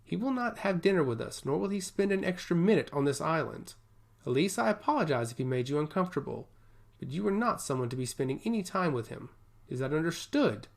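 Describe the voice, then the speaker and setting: A stoic older royal woman of a fantasy audiobook voiced by a man, shrewd and commanding. Her formal, measured lines sit slightly high with marked rises and falls. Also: big pitch swings, gravelly, full character voice.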